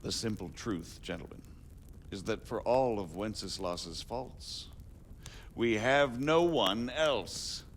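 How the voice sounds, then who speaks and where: A man playing a shrewd, diplomatic medieval margrave in a game cutscene, speaking formally and deliberately. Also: on the low side, expressive, a little hiss or hum. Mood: grave, persuasive. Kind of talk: dramatic video game cutscene acting.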